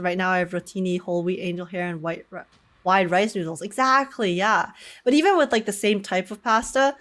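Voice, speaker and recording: A young woman chatting casually as she livestreams a game, with a giggly, slangy manner. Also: mid-range voice. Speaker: live streamer.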